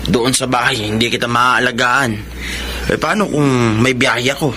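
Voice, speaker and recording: An actor playing a practical, concerned young Filipino son in a Tagalog radio drama. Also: male voice, mid-range voice, steady tone, normal speed, sharp tone, slight background noise, natural voice. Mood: concerned, explaining.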